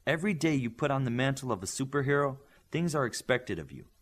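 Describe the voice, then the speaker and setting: A man's voice: mid-range voice, expressive, quick pace. A man voicing a stern, demanding superhero coach in an audiobook, speaking with blunt, commanding authority to young trainees. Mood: grave, commanding. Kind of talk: audiobook character dialogue.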